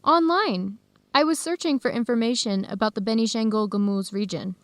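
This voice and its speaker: A woman's voice: a little high, fairly quick, no room sound, close to an everyday voice. An actress playing a curious, friendly young woman researcher in an educational radio drama. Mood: curious, upbeat.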